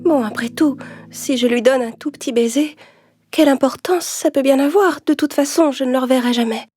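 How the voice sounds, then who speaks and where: A woman voicing a haughty, expressive young princess in a children's storybook. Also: a little fast, dry recording. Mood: reluctant. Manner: indignant, expressive, higher-voiced. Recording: music under the voice.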